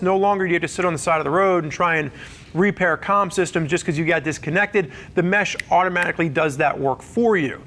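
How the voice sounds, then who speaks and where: A man with a noticeably high voice presents a product review. He speaks conversationally and explains each feature step by step, as if working from loose notes. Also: a bit bright. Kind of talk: semi-scripted product review to camera.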